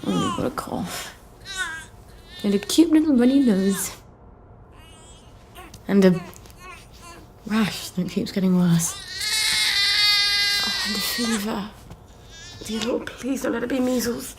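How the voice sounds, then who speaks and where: A woman's voice: a little high, sing-song, takes it slowly, pauses often, full character voice. An actress playing a harried, foul-mouthed young English mother who coos to her baby and mutters with worry in a game cutscene. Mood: anxious, panicking. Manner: cooing baby talk slipping into muttered worry. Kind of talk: video game cutscene acting. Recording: clear background noise.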